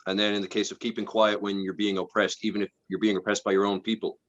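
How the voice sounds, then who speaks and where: A man speaking as a panel guest, measured and analytical with hedging phrases. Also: lowish voice, flat delivery, a bit bright, highs cut off. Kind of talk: discussion.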